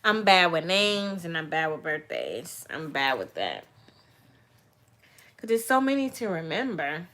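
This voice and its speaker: A woman vlogger chats with her viewers in a loose, rambling way. Her voice sits a little low for a woman, and her candid talk often turns soft and heartfelt. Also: big pitch swings, measured pace. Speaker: vlogger answering viewer questions. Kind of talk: casual livestream chat.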